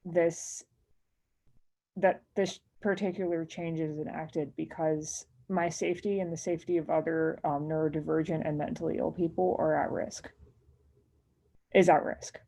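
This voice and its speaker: A woman giving an earnest personal statement as a speaker at a remote public meeting, with a halting, hesitant delivery. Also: low-pitched, steady tone, creaky, warm tone.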